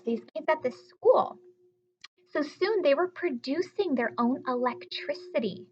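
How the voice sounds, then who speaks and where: A woman's voice: fairly high pitch, highs cut off. A woman teacher reading aloud a lesson for children, explaining simply and encouragingly with questions to her young listeners. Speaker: elementary school teacher.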